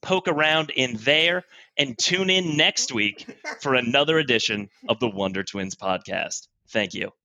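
A man's voice: medium pitch, expressive, unhurried, vocal fry, sharp tone, a little hiss or hum. A man speaking as a comedic podcast guest, improvising polished, emphatic corporate training patter in the manner of a presenter.

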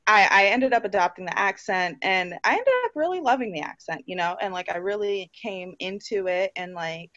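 A woman's voice: medium pitch, normal speed, few pauses, no echo, highs cut off. Chatty, laughing young woman guest. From New Jersey and the U.S. Virgin Islands. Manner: animated, laughing often, lots of fillers like "like" and "you know". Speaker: interview guest. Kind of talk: casual conversational storytelling.

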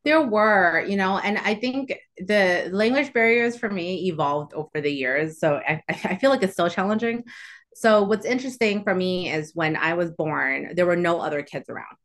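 Low-voiced woman guest, reflective. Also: animated, moderate pace, fairly bright tone. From Southwest Houston, Texas. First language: Chinese. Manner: reflective, uses many "like" fillers. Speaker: podcast guest. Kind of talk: casual interview chat.

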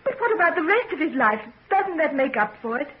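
An actress playing a loyal, loving young fiancée in a 1940s radio drama, with an earnest, emotional delivery. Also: female voice, sits high, big pitch swings, fairly quick. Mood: defensive, pleading. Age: young adult. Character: loyal, loving young woman engaged to be married. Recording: dull, noisy 1940s radio recording.

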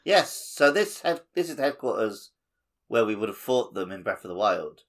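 A man's voice: on the low side, very animated, moderate pace. A man co-hosting a casual gaming podcast, chipping in with conversational comments. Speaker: podcast co-host.

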